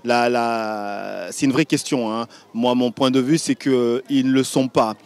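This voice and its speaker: A man from France giving a deliberate, lightly ironic documentary testimony. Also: medium pitch, vocal fry, smooth. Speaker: interviewee.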